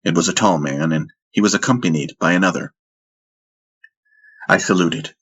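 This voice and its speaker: Male audiobook narrator, very deep pitch, roughened husky tone, measured dramatic delivery. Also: a bit bright. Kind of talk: first-person scripted fiction narration.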